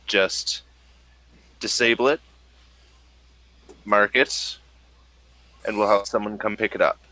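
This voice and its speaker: A man running a tabletop game as game master, hesitant and unhurried. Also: fairly low pitch, fairly even intonation, pushed and bright, no room sound.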